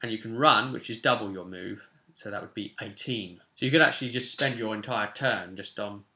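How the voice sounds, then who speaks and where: A man's voice: fairly low pitch, a little fast, bright, forceful tone, slight background noise. A man in his twenties with an English accent, chatting casually to viewers in a tutorial with wry asides. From England. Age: 20s. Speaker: host of tabletop roleplay channel. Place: small home room, indoors.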